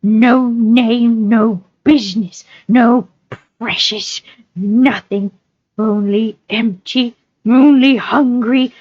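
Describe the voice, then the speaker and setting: A whiny pitiful little creature from a storybook, high voice, slow drawn out begging, super cringing. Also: female voice, fairly even intonation, no echo, dull audio. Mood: pitiful, whining. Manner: whimpering, drawn-out, repetitive pleading. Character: wretched whining creature, cringing, hungry and evasive. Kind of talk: audiobook-style character reading.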